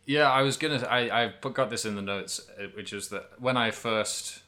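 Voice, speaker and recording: A man's voice: mid-range voice, normal speed, pushed and bright. A man co-hosting a podcast, talking casually about video games in a rambling way, as if thinking aloud. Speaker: podcast co-host. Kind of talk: casual video game discussion.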